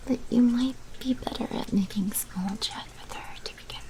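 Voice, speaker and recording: A woman voicing a sly female vampire mafia manager in an ASMR roleplay, mostly in a breathy whisper with slow, theatrical delivery. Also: fairly high pitch, voice pushed up, lightly acted. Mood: wry, conspiratorial.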